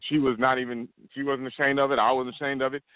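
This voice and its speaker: Fast-talking man on a tinny line. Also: mid-range voice, level tone, clear, resonant tone, no echo. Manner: rambling storyteller, many restarts. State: walking while talking. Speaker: podcast co-host. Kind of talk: casual chat.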